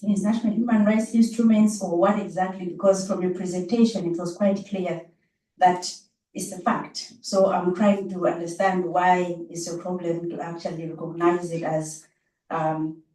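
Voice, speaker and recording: A woman's voice: on the low side, mellow. A woman from Zambia asking questions from the audience at a public talk. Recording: echoey room, distant mic.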